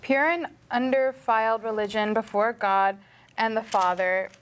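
A woman's voice: on the high side, fairly even intonation, unhurried. A woman reading scripture aloud as part of a Bible study group.

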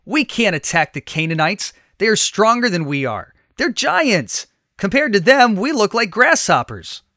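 Man acting out alarmed ancient spy, raised pitch. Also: sing-song, a little slow, dry recording, studio quality, exaggerated voice. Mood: alarmed shouting. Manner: loud, agitated protest. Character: fearful, shouting Israelite spy, alarmed and defeatist.

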